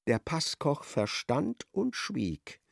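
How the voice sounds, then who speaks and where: A man narrating a radio play in an expressive, literary storytelling style. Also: on the high side, slow, creaky, dry recording, clean studio sound.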